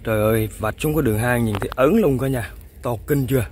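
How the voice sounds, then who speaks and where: A young man excitedly commenting to viewers while fishing outdoors, exclaiming often. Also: mid-range voice, fast, a little hiss or hum. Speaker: fishing vlogger. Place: outdoors in fields.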